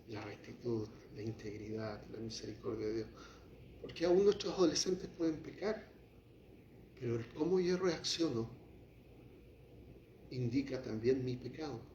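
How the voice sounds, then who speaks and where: A man preaching a sermon as pastor, shifting from quiet, low passages to raised, heated lines. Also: expressive, unhurried, long pauses, slight background noise. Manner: impassioned preaching, drops to quiet, then rises to acted outbursts. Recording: echoey hall, muffled lo-fi sound.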